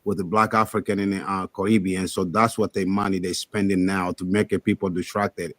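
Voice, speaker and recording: A man's voice: low voice, flat delivery, fairly quick, no room sound. A man on a live call-in discussion, rambling with loose, broken grammar. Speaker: call-in participant.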